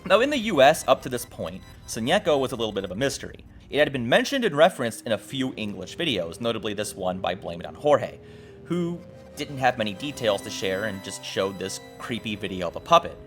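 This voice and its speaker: A man's voice: mid-range voice, very animated, brisk. A man narrating a video essay as host in a wry, exclamatory, casual style with some swearing. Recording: music behind the voice. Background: background music.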